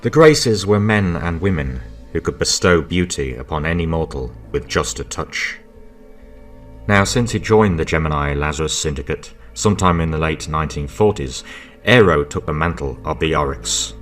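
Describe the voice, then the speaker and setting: Very deep-voiced man narrating. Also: animated, moderate pace, a bit bright, soft background music. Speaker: narrator introducing an audio story. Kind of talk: scripted narration, presenting a character profile.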